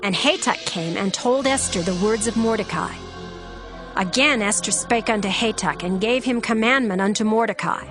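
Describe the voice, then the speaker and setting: Female narrator: solemn, measured scripture storytelling, medium pitch, light musical bed. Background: background music.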